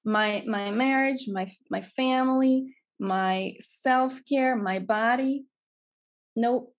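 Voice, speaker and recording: A woman's voice: fairly high pitch, takes it slowly. A woman of about forty from Greece, with a Greek accent, earnestly telling her life story as a podcast guest and repeating phrases for emphasis. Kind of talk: personal storytelling in an interview.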